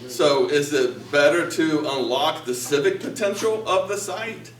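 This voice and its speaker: Guy presenting at a town meeting, measured, explaining, bit high voice, far off echoey mic. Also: slight background noise. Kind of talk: answering questions at a public meeting. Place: public meeting room, indoors. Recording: echoey room, voice picked up from a distance.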